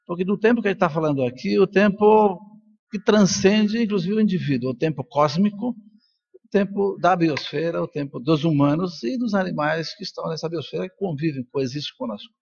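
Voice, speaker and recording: A man's voice: high-pitched, fairly quick, vocal fry, darkish tone, breathy edge, muffled recording. An older man from Colombia, a native Spanish speaker, giving an informal, reflective conference talk with personal stories. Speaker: neuroscientist and professor speaking at a congress.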